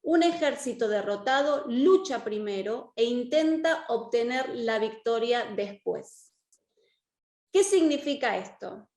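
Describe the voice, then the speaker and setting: A woman's voice: fairly high pitch, animated, slow pace, a little mellow. An Argentine woman teaching an interactive online class in an encouraging way, checking understanding with short questions. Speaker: course teacher and lawyer. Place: indoor room, online video class. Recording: echoey room.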